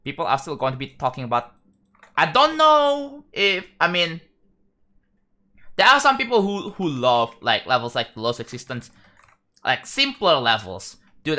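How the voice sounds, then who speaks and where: A young man with an Indonesian accent chats loosely on a live stream, often stopping and restarting his sentences. His voice is bright and his pitch swings widely. He sounds relaxed and unscripted. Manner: rambling, halting, with many restarts. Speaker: livestreamer. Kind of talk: casual live-stream chat.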